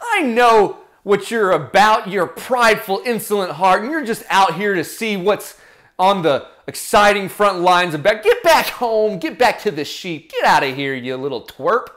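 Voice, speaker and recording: A man plays a scornful oldest brother in a Bible story. He scolds in a bossy, contemptuous way, with animated pitch. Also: normal speed.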